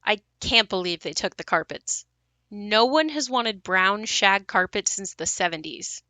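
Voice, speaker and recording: A woman's voice: mid-range voice, animated, a little slow, pushed and bright, no room sound. A woman acting a wistful, reflective grown daughter haunted by her ruined childhood home in an audio play. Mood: wry, casual.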